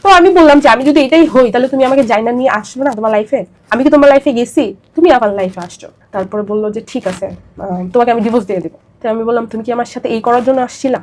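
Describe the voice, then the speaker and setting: Fast-talking young Bangladeshi woman, higher voice. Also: darkish tone. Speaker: interview guest. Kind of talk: personal confessional storytelling.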